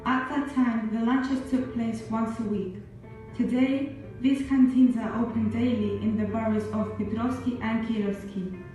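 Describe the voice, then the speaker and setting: A woman reading a formal documentary narration in an even manner. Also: on the high side, a little slow, soft background music. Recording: video played in an echoey hall, heard from far off.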